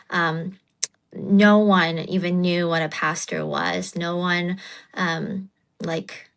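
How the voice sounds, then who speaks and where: A woman in her thirties from China telling a personal story as an interview guest, informal and halting, often saying "like". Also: on the low side, fairly bright tone. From China, partly United States. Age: 30s.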